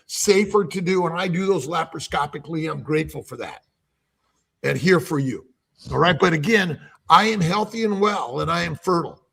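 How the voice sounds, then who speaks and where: A man in his seventies hosting a casual panel chat as a doctor, talking in halting runs with many restarts. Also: high voice, gravelly, a bit bright. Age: 70s. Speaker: host, doctor.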